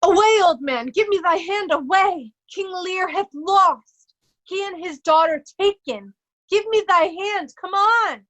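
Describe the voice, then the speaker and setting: A woman plays a devoted young noble son in a stage tragedy. The voice is very high, and the old verse comes out earnest and urging. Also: unhurried, a bit bright, low-quality recording. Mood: urgent. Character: loyal, urgent young nobleman guiding his father. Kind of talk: Shakespearean verse read in reader's theatre.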